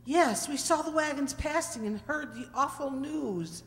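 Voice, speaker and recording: A woman acting an anxious, pleading farm wife with hesitant delivery, in a staged old-time radio drama. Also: high-pitched. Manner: hesitant, drawn-out. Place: stage in a community hall. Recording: echoey hall, distant sound.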